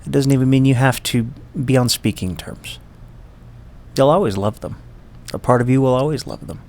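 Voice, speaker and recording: A man's voice: mid-range voice, moderate pace, darkish tone. A man narrating his own life story in an earnest, heartfelt way, speaking directly to his listeners. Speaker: podcast host. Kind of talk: reflective personal narration.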